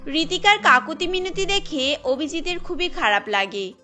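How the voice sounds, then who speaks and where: Bright, high-voiced woman storyteller. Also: very animated, normal speed. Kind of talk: scripted cartoon story narration. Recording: music bed under the voice.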